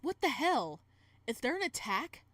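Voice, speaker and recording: Woman doing loud, indignant romance-game suitor. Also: sits high, very animated, a little slow, dry recording, voice pushed up, heavily acted.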